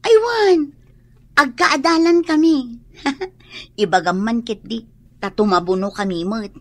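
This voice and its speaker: A woman's voice: a little high, slow pace, no echo. A woman acting in an Ilocano radio drama as an eager, forgiving former classmate, speaking emphatically with an Ilocano accent.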